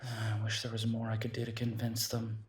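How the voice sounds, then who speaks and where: A man playing a protective, worried werewolf detective boyfriend in an intimate roleplay audio drama, speaking softly and reassuringly with hesitant pauses. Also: fairly low pitch, monotone, breathy edge.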